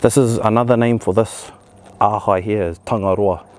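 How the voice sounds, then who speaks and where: A man, his voice raspy after a cold, explaining movements step by step as a relaxed instructor and channel host. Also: on the low side, moderate pace. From New Zealand. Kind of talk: casual spoken tutorial.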